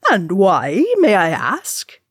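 A woman's voice: lowish voice, wildly swooping pitch, slow, husky, no room sound, studio quality, heavily acted. A woman voicing a young male dwarf warrior in a storybook reading, hearty, bragging and teasing. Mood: indignant.

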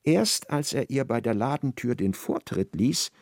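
A man narrating a literary radio play in a measured, precise storytelling style. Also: medium pitch, animated, darkish tone, no room sound, clean studio sound.

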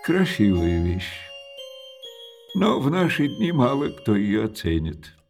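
An actor playing an elderly, widowed male junk-shop owner in a radio drama. He talks slowly and hesitantly, and his sentences trail off softly between pauses. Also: fairly low pitch, very animated, creaky, faint music behind, heavily acted. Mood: wistful. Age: older.

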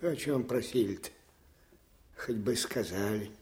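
A man's voice: on the low side, unhurried, darkish tone, natural voice. An actor playing a plain-spoken, devoted male orderly who chides his old master in a folksy, familiar way, in a radio drama. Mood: grumbling, chiding. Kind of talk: Soviet radio drama acting.

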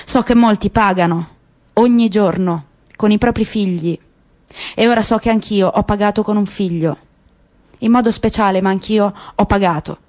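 A woman actor narrating a radio drama in a measured, grave way. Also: medium pitch, a little mellow.

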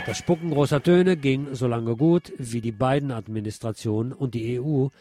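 A man's voice: medium pitch, normal speed, loud music behind the voice. A man hosting a radio programme, reading press commentary and news aloud. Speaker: radio show host.

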